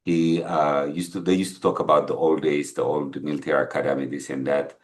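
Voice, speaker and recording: A middle-aged man with an Ethiopian accent, talking casually in an interview in a halting, reflective, conversational way. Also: a bass voice, level tone. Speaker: podcast guest, entrepreneur. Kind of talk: casual interview, telling life story.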